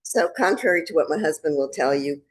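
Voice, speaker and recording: A woman from Connecticut with a soft voice, giving an earnest, measured talk as a guest speaker. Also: low-pitched, lively intonation. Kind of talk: presentation.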